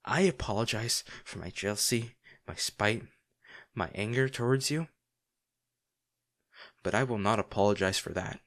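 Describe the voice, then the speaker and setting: A man playing a devoted, remorseful bodyguard to a noble lady in a fantasy audio drama, speaking in a formal, halting, earnest way. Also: on the low side, a bit bright, lightly acted. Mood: earnest, softened.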